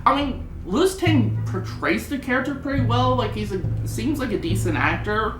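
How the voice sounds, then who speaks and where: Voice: mid-range voice, lively intonation, moderate pace. A person giving a casual, opinionated film review in a rambling, conversational way. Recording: roomy echo, music underneath.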